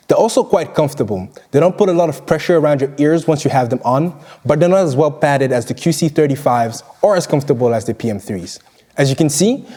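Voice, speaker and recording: A man's voice: a little high, mellow. A man narrating a scripted product review as its presenter.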